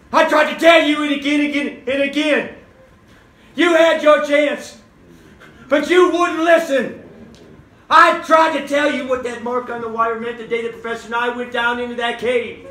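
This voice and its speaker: A man's voice: extremely high pitch, unhurried, long pauses, pushed and bright, heavily acted. A man on stage playing a desperate, earnest convicted man pleading his innocence in an impassioned, declamatory way. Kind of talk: theatrical stage acting of a radio play. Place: live on a theater stage. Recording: echoey theater stage, noisy live audience.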